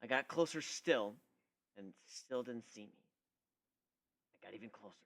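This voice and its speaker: A man voicing a cocky, introspective young male griffin in an expressive dramatic story reading. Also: mid-range voice, moderate pace, pitched below the performer's own voice, natural voice. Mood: intense, proud. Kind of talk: dramatic first-person fan fiction reading.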